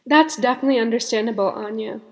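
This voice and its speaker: A teenage girl co-hosting a youth podcast, reading her part in a measured, explanatory way. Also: a little high. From born in India, raised in the United States. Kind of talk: read-aloud podcast script. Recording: long reverb on the voice.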